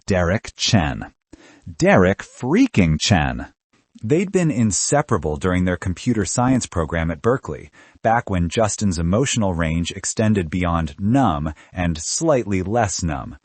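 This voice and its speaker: A man reading a scripted novel aloud in a wry, animated narrator's style. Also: sits low, a little slow, husky, dry recording. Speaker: audiobook narrator.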